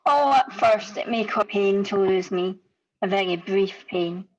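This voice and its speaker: A woman playing a sorrowful but resolute young woman in a radio-style drama read over a video call, measured and earnest. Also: medium pitch, close to an everyday voice. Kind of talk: rehearsed radio-script drama reading. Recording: dull lo-fi video call audio.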